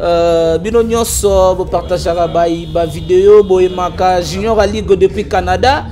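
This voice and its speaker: A man with a Congolese accent, an animated and argumentative political commentator on a talk show. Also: high voice, normal speed, faint music behind. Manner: animated, argumentative, mixes French and Lingala.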